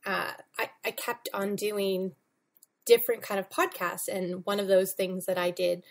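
A woman's voice: medium pitch, little pitch movement, unhurried. A woman in her thirties talking casually and informally as a guest in an interview. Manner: chatty, informal, peppered with "like". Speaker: podcast guest, comic book writer and editor. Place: home room with bookshelves, video call.

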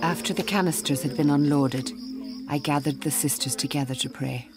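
An actress playing a brisk, shrewd, kindly Mother Superior in a radio drama, commanding yet gentle. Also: low-pitched, steady tone. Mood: calm narrating. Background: music.

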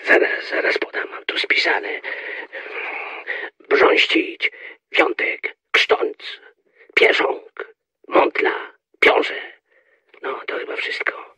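An actor playing a gruff, agitated conspirator in a radio drama, raspy and clipped, through a telephone filter. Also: male voice, very slow, pauses often, pushed and bright. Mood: businesslike. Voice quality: very raspy, gravelly.

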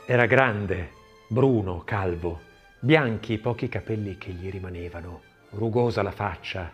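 A man narrating a scripted audiobook in an expressive, literary, dramatic reading style. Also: on the low side, a little slow, soft background music.

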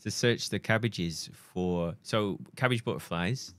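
Low-voiced rambling man. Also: measured pace. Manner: rambling, hesitant storytelling. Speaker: podcast co-host. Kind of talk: casual banter.

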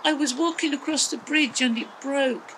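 A woman's voice: sits high, moderate pace, a bit bright, slight background noise, pitched above the performer's own voice, poor audio. An English woman voicing a hurt, plaintive male dog in a children's story.

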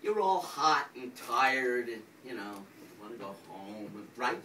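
A man's voice: mid-range voice, big pitch swings, measured pace, sharp tone. An older man, a poet, giving a wry live poetry reading, projecting to a small room. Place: small crowded indoor venue, on stage. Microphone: distant camera from the audience. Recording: roomy, distant audience recording with background noise.

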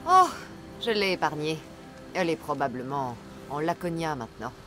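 A woman playing a bold, self-assured mercenary hero in a video game, with a warm, teasing delivery. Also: low-pitched, animated, moderate pace. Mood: confident, playful. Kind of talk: dramatic game dialogue. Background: background music.

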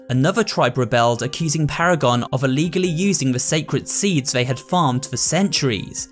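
Mężczyzna opowiadający historię gry. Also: male voice, mid-range voice. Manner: steady, explanatory. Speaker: narrator. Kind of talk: scripted lore narration.

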